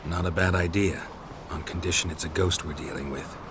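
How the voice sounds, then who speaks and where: A man voicing a gruff, terse monster hunter. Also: low-pitched, steady tone, a little fast, background noise, close to an everyday voice. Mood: probing. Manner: terse and dry. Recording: game audio with loud music.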